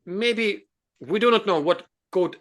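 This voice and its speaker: Russian-accented guy explaining stuff, fairly high voice, lots of uhs and restarts, earnest. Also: a bit bright. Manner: halting, many fillers and restarts. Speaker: host. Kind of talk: explanatory video talk.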